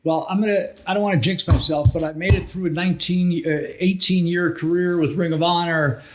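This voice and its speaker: A middle-aged man with a notably high-pitched voice hosts a podcast. He talks in a casual, conversational way, wandering and halting as he reminisces. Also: steady tone, noisy background. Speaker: podcast host and wrestling veteran.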